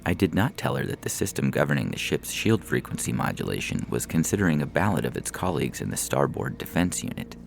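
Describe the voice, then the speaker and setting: A man's voice: a bass voice, big pitch swings, fast, gravelly, hardly any pauses, a little hiss or hum. A man reading comic science fiction stories as a scripted narrator and announcer. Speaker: story reader and announcer.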